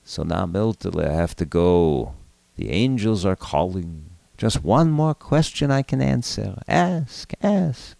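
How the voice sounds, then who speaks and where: A man's voice: low-pitched, very animated, slow, gravelly, warm tone, no room sound, exaggerated voice. A man voicing the ghost of a tender old grandfather with a thin, quavering voice in a comic story. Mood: gently urging.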